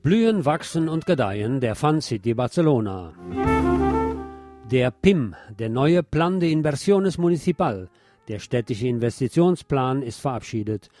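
A man's voice: medium pitch, big pitch swings, normal speed, mellow. A man presenting scripted radio news as a narrator, reading reports in an orderly way. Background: music under the voice.

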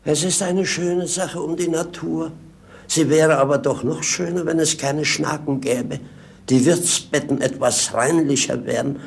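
An actor playing a witty, earthy vagabond companion in 1950s radio drama, declaiming with theatrical mock grandeur. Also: male voice, on the high side, measured pace, vocal fry. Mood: drily comic.

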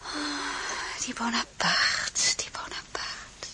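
A woman's voice: high-pitched, very slow, sharp tone, whispered, exaggerated voice. A woman playing a caring, plain-spoken princess at court in an audio drama, candid yet formally courteous. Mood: weary, hushed. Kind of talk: Dutch audio drama acting.

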